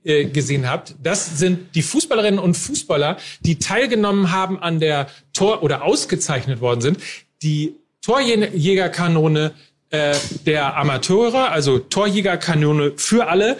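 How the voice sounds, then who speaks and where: A man's voice: fairly high pitch, lively intonation, moderate pace. A man presenting a live football show to an audience in a chatty, jovial way, with some hesitations.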